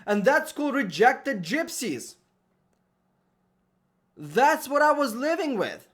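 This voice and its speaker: High-voiced man. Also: very animated, takes it slowly, fairly bright tone. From Uzbekistan. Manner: emphatic, ranting, checks in with "okay?". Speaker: vlogger. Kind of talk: opinion monologue to camera. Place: room at home with an air conditioner running.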